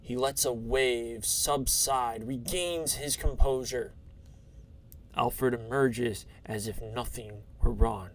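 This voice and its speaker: A man's voice: medium pitch, takes it slowly, a little hiss or hum. A man reading dramatic scripted narration for an audio drama.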